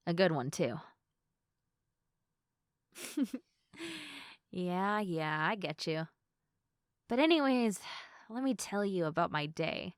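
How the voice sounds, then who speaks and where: Woman doing a sleepy cuddly girlfriend asmr, lowish soft voice, chatty, really dry close sound. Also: dry recording. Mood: playful, sleepy. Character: sleepy, affectionate girlfriend home from work. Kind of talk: intimate ASMR girlfriend roleplay.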